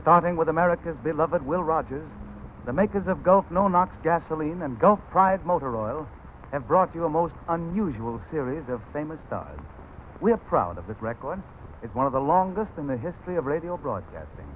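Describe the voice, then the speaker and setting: A man hosting a 1940s radio drama, giving a formal, smooth scripted introduction. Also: fairly high pitch, expressive, moderate pace. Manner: smooth formal announcer delivery. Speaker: radio host. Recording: tinny old 1940s radio broadcast, faint hiss.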